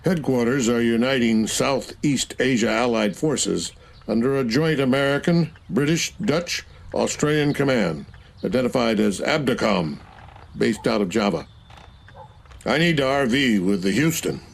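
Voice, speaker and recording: An actor voicing a senior naval admiral who gives orders in a calm, authoritative way. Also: male voice, mid-range voice, unhurried, gravelly. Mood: commanding. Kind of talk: scripted game cutscene.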